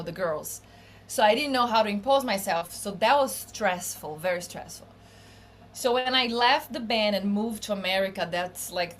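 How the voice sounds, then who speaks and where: A middle-aged woman from Brazil with a Brazilian Portuguese accent, speaking English as a second language, chatting relaxed as a musician guest in an interview, with frequent restarts. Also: medium pitch, slight background noise. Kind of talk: casual interview chat. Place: home studio room.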